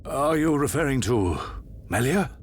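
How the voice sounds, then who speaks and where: An actor playing a dignified older emperor, formal and solemn in a video game drama. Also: male voice, mid-range voice, big pitch swings, a little hiss or hum. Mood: grave. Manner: stately, formal, measured.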